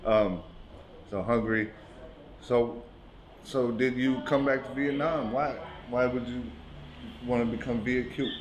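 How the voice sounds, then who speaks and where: A man's voice: mid-range voice, steady tone, measured pace, long pauses, roomy sound, crowd noise, rough recording. A man hosting a podcast, asking loose questions and joking, with frequent short backchannels. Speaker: podcast host. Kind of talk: casual interview chat. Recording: clear background noise.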